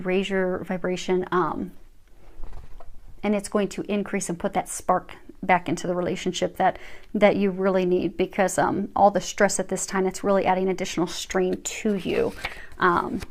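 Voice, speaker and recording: A woman with a medium-pitched voice reads tarot cards in an informal way. She gives spiritual advice in a reassuring, encouraging manner. Her delivery is halting, and she often repeats phrases as she goes. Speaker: tarot reader.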